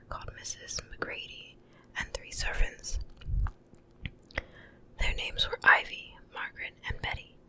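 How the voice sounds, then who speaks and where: A woman speaks entirely in a soft, breathy whisper. She has the gentle, hushed delivery of an ASMR bedtime reader. The close stereo mic places her voice right at the listener's ears. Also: poor audio. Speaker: ASMR host reading a bedtime story. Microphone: close stereo mic, ear to ear.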